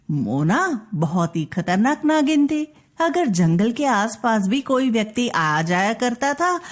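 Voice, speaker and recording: Female narrator for children's bedtime stories: higher-pitched, slow, scripted storytelling delivery. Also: darkish tone.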